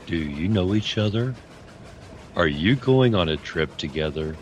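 A man acts a knowing, courteous stranger in a radio drama. He has a very low voice and probes politely, over faint background noise. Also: expressive, a little slow. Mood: curious, apologetic. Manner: genial, polite, gently probing. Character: courteous, curious, knowing male mystery traveller.

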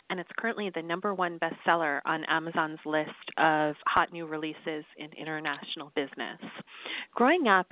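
A woman's voice: sits low, no echo. A female guest author answering interview questions in a composed, articulate way. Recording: tinny phone line.

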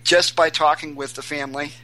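A man from Maine, guest on an interview show, talking haltingly with many restarts and fillers. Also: fairly high pitch, steady tone, bright, forceful tone, low-quality recording. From Maine, USA. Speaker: guest, volunteer searching for missing children. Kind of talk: casual interview.

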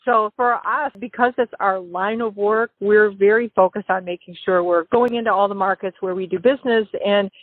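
A woman's voice: mid-range voice, moderate pace, few pauses, dry recording. Woman explaining lending policy by phone. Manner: explanatory, steady, with light laughs. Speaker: regular guest giving legislative update. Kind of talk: casual radio chat. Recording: dry phone-line audio.

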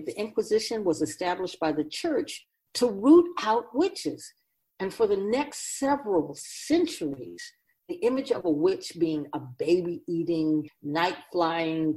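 A woman's voice: on the low side, expressive, unhurried, warm tone, low-quality recording. A woman playing a wise, grave otherworldly keeper of souls in a radio drama, speaking in an oracular, instructive and emphatic way.

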